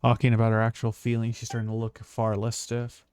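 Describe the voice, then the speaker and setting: A woman's voice: a bass voice, monotone, brisk, darkish tone, clean tone. A woman game streamer reacting casually and bluntly during a live stream, with some swearing. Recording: game music behind the voice.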